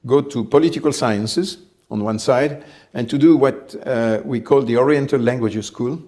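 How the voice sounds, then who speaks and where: A man, telling his life story in an interview, measured and reflective, often pausing to find his words. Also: medium pitch, a little mellow. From France. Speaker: company head, interview guest.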